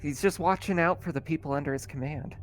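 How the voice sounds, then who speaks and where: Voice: medium pitch, quick pace, soft background music. A person casually running a tabletop roleplaying game as game master, easygoing and often chuckling.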